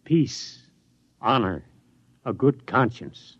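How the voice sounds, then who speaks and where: A weary old scientist, rueful and lively. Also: male voice, medium pitch, takes it slowly, breathy edge, no room sound, heavily acted. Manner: reflective and rueful. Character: weary older male scientist. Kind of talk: 1950s radio drama acting. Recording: dull old radio recording.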